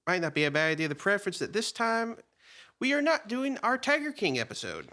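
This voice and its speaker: A man as a lively, wisecracking sidekick son. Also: high-pitched, a little fast, heavily acted. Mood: sardonic. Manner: sardonic, interjecting. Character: wisecracking, sarcastic son of a vampire. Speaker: co-host sidekick. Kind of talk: campy comedy radio theater.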